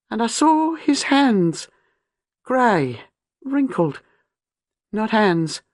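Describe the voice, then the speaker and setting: A distraught young woman, as a female narrator puts her on, pleading in high, breathless bursts. Her pitch leaps and falls as her panic rises. The recording is close and dry, with no room sound. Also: no room sound.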